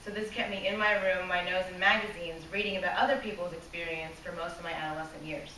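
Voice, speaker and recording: A woman's voice: fairly low pitch, fairly even intonation, fast talker, bright, forceful tone. A woman giving a prepared speech to a live audience, earnest and direct. She asks the room questions and sometimes loses her place and starts over. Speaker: club speaker. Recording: echoey room from a distance, faint hiss.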